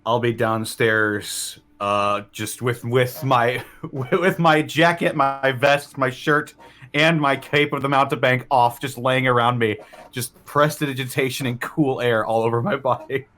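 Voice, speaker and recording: A man's voice: mid-range voice, measured pace, fairly bright tone. A man chatting casually as a player in a tabletop role-playing game. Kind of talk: casual role-play chat.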